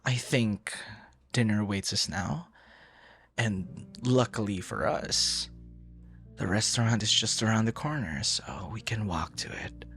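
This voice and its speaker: Man acting a slow-talking, smitten romantic suitor. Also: fairly low pitch, fairly bright tone, exaggerated voice. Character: smitten, flustered young male stranger turned romantic suitor. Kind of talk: intimate ASMR boyfriend roleplay.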